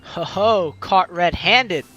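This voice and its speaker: A man's voice: high-pitched, takes it slowly, pushed and bright, dull audio, faint music behind. A man hosting a let's play, reading the story aloud in a playful, dramatic way and adding his own commentary.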